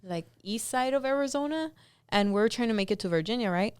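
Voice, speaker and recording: A woman co-owner of a cleaning business, telling a lively story in a casual chat and acting out what people said. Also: fairly high pitch, fairly quick, clean tone, no room sound, studio quality. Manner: animated storyteller, acts out dialogue.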